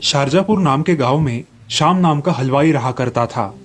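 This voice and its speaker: A man's voice: medium pitch, normal speed. A man reading scripted narration for children's moral stories as the storyteller.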